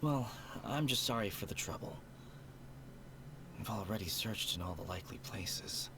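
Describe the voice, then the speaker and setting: An actor playing a troubled elderly man in a video game, wistful and halting as he speaks. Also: on the low side, lively intonation, background noise, lightly acted. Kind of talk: video game character acting.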